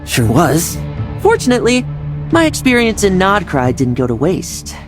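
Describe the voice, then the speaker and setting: A blunt, confident young fighter in a game who sounds breezy and swaggering. The voice sits mid-range but swings widely in pitch. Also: wildly swooping pitch, moderate pace, over music. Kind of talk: video game voice acting.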